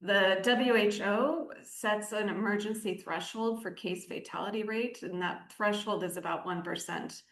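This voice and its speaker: A woman giving measured, articulate explanations as an aid director interviewed on the radio. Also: on the low side, gravelly. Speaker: aid organisation country director, interview guest. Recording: roomy, echoing sound.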